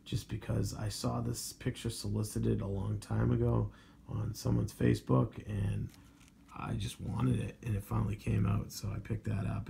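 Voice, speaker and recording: A man of around forty, hoarse from losing his voice, chatting casually through a show-and-tell review with lots of "um"s. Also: mid-range voice, a little mellow, a little hiss or hum. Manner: rambling, filler-heavy, enthusiastic about covers. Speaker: comic book collector vlogger.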